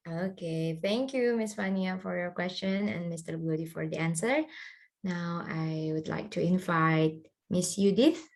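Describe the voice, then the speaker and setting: A woman's voice: lowish voice, steady tone, measured pace. A woman moderating an online question session, politely inviting people to speak. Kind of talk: moderating a webinar.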